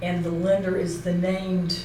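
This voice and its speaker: A woman's voice: on the low side, flat delivery, a little slow, dark tone. A woman presenting an informal instructional talk, explaining step by step and checking points with a colleague. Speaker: seminar presenter. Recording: roomy echo, distant mic, faint noise.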